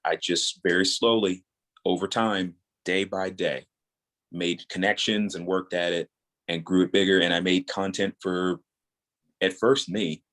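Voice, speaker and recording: A man's voice: sits low, a bit bright. A man in his thirties talking casually and earnestly as a guest in a podcast interview. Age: 30s. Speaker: guest, audio drama creator.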